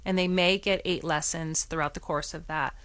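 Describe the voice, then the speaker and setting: Woman guest on radio, earnest, lowish voice, dry close sound, lots of restarts. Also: fairly even intonation, moderate pace, fairly bright tone, no echo. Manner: earnest, explains with many restarts. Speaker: guest from a swim lesson nonprofit. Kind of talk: radio call-in discussion.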